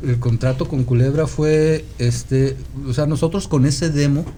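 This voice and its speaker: Male, Guadalajara Mexican accent, dark relaxed tone. Meandering, halting raconteur in casual interview, slight background noise. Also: a little high. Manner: halting, meandering storyteller who seeks confirmation with "¿no?". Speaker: podcast guest, rock musician. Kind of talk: casual reminiscing conversation.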